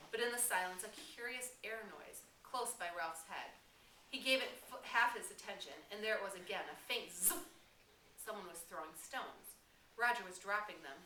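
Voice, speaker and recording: A woman reading a novel aloud as dramatic, expressive audiobook narration. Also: mid-range voice. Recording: roomy, distant mic, faint noise.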